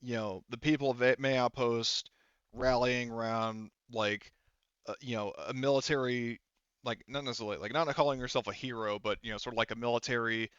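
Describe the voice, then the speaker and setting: A man works as game master in a tabletop game, explaining things off the cuff. His voice is smooth and of medium pitch, but his phrasing is halting and wandering. The recording is close and dry, a little muffled on top. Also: steady tone, a bit bright, no room sound. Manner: halting, filler-heavy, rambling explanations. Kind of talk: improvised tabletop game narration.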